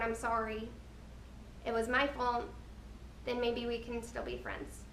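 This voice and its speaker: A woman giving a scripted slide presentation, explaining each point in a deliberate, earnest way. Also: fairly high pitch, a bit bright. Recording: roomy, distant mic with background noise.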